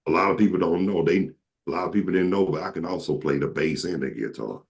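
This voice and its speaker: A man's voice: fast, gravelly. A man, hosting a casual chat, joking and telling stories. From Atlanta, Georgia. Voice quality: very deep voice. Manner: joking, slips into comic impressions. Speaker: show host.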